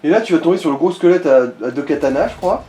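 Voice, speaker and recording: A man's voice: fairly high pitch, lively intonation, fast, dark tone. A man in casual gaming chat, explaining and giving directions to the player in a helpful, slightly hesitant way. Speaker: co-commentator guiding the player. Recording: echoey room.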